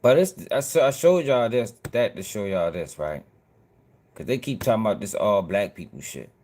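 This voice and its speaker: A man's voice: fairly low pitch, animated, moderate pace, background noise. A man hosting casual reaction commentary, talking bluntly and with profanity straight to his viewers. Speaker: commentary channel host.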